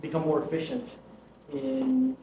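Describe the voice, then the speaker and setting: A man's voice: very high voice, sing-song, unhurried, creaky, warm tone, echoey room, recorded from a distance, poor audio. A man in the audience, a consultant, asking a casual question and checking in with repeated "right?".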